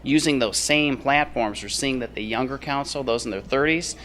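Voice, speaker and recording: A man's voice: mid-range voice, moderate pace, fairly bright tone, slight background noise. A man speaking as an expert interview guest at a conference, explaining findings in his answers. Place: on location at a conference.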